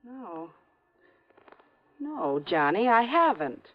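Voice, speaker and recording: A woman's voice: a little high, big pitch swings, very slow, exaggerated voice. A woman playing an affectionate, fretful wife in 1950s radio drama style. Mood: worried. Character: anxious, affectionate wife of an insurance man. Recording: muffled vintage radio recording.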